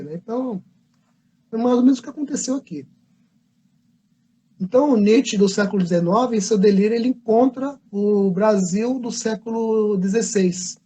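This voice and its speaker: A man's voice: high-pitched, warm tone, breathy edge. A Brazilian man, a comic book artist, telling a history story in a casual livestream, rambling and correcting himself as he goes. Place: convention livestream.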